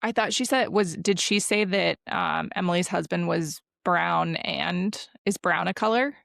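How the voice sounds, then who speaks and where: Female co-host, low gravelly voice, deadpan one-liners. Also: unhurried, no room sound. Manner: dry, deadpan quips. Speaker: podcast co-host. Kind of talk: casual chat.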